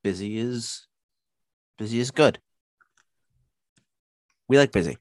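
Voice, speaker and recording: A man's voice: medium pitch, takes it slowly, dry recording. A man co-hosting a podcast, congested and dealing with laryngitis, rambling with many fillers, sitting close to his mic. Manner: rambling, lots of fillers and restarts. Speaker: podcast co-host. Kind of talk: casual podcast chat.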